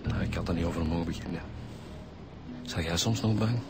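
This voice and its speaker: Uneasy detective, Flemish accent, deep pitch, plain delivery, radio drama, prominent music bed. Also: male voice, fairly quick, creaky. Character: earnest, uneasy police detective, the inspector's colleague. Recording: loud music behind the voice.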